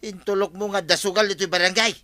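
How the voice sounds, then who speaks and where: Radio comedy role: broke village gambler, high sharp voice, Ilocano Filipino accent, wheedling hothead. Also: male voice, fairly even intonation, quick pace. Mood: pleading. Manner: wheedling, loudly complaining.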